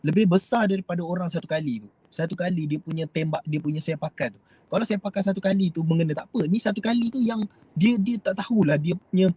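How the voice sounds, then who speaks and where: Husky Malaysian man, rambling motivational talk. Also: high voice, fast, dark tone, dry recording. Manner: rambling, hesitant, asks viewers to comment. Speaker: host. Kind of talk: casual motivational talk to viewers.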